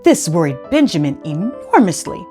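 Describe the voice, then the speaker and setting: Lively woman reading a children's story, music behind. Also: fairly low pitch, moderate pace. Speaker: host and storyteller of a children's read-aloud show. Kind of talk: expressive children's storybook read-aloud.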